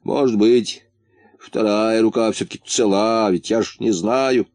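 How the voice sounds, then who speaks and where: A man's voice: lowish voice, fairly even intonation, a little slow, darkish tone, dry recording. A man voicing a matter-of-fact storybook companion who clumsily consoles and reasons in a blunt, well-meaning way. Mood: faltering.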